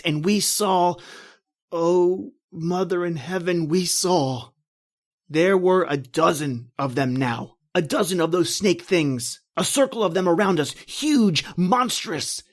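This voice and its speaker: A man playing a guilt-ridden, terrified doomed man, declaiming urgently and melodramatically in old-time radio horror style. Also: high-pitched. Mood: horrified, defiant.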